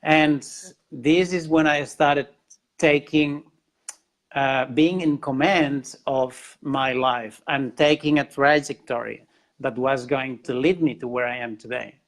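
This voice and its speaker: A man's voice: medium pitch, steady tone, takes it slowly. A man from Catalonia with a Spanish accent, a guest in a casual interview, telling his life story earnestly with frequent restarts and repeated words. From Spain, Catalonia.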